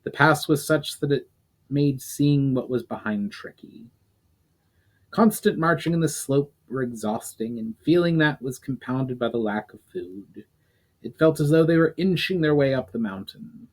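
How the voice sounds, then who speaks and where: A man reading a fantasy story aloud as dramatic narration, deliberate and occasionally stumbling over words. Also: medium pitch. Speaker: writer reading own novel aloud.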